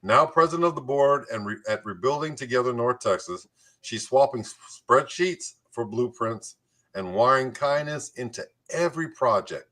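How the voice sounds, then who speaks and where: A man's voice: medium pitch, expressive, moderate pace, pushed and bright. A man hosting a community talk show, moving between scripted introductions and playful, jokey banter.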